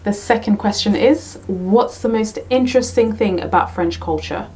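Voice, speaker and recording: Woman, from Kenya, young adult, teacher, casual talk to camera, middle pitch, repeats herself for emphasis. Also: normal speed, slight background noise. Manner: warm, repeats "really, really" and "to be honest". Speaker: teacher and YouTube tutor.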